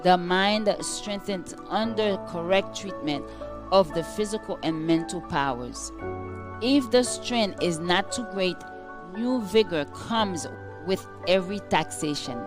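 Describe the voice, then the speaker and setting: A woman reading a scripted devotional in a measured, formal, earnest manner. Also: fairly low pitch, lively intonation, music in the background. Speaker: devotional reader.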